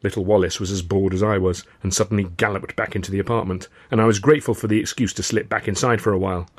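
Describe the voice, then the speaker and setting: A man reading his own first-person novel as an audiobook, with a wry, measured storytelling manner. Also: fairly low pitch, steady tone, no room sound.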